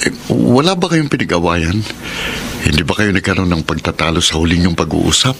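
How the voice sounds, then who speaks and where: A man's voice: low voice, animated, a little fast, vocal fry, a little hiss or hum, exaggerated voice. An actor playing a lovelorn, heartbroken young man in a Filipino radio melodrama. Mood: concerned. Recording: loud music under radio drama.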